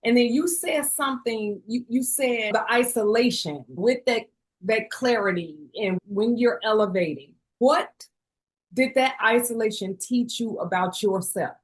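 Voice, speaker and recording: A woman's voice: fairly high pitch, unhurried. A woman hosting an interview, asking reflective questions and often affirming the guest. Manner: affirming, keeps saying yes. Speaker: podcast host.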